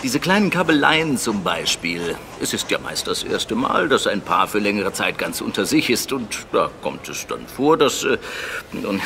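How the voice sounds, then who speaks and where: An actor playing a genial, self-assured, worldly American adventurer in a German radio play. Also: male voice, on the low side, very animated, moderate pace, creaky, pushed and bright, a little hiss or hum, full character voice. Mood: amused, genial.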